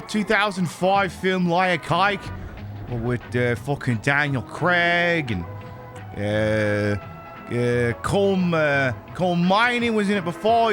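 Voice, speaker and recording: A man's voice: a little high, fairly bright tone. A man streaming a video game in a put-on British accent, voicing a cheeky, foul-mouthed, exasperated bloke who rambles through live commentary with mock indignation. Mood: rambling, amused. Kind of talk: improvised live gaming commentary. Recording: music behind the voice. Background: game music.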